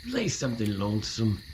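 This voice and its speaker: An actor playing a kindly, weary old father in an audio drama, speaking gently as he makes light of his frailty. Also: mid-range voice, background noise.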